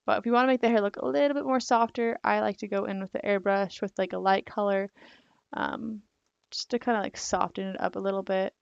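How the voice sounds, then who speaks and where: A young woman talks through a digital drawing tutorial in a rambling, filler-filled way. Her voice is gravelly and croaky because she is losing it. The recording is dry and a little dull, like a home desk mic. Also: medium pitch, fairly even intonation, a little fast, no room sound. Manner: rambling, filler-heavy, apologetic. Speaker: digital art tutorial host.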